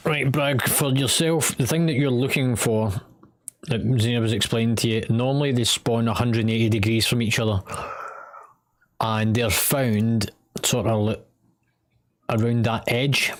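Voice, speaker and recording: A man's voice: medium pitch, steady tone, unhurried. Scottish man leading an online game raid. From Scotland. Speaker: raid leader and streamer. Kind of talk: instructing teammates during online game.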